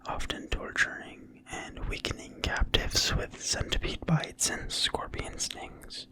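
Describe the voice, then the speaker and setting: A person reading aloud in a quiet, breathy whisper, slowly and soothingly, in an ASMR style. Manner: quiet, slow, deliberate, soothing delivery. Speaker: ASMR video creator and reader. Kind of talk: whispered ASMR reading of a fantasy game manual.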